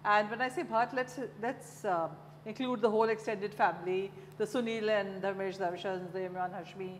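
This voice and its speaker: A woman's voice: medium pitch, fairly even intonation, normal speed. A middle-aged woman with an Indian accent interviewing conversationally, agreeing and chiming in readily. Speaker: interviewer, host. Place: indoor cafe-like set, seated at a long wooden table. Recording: reverberant room with faint background noise.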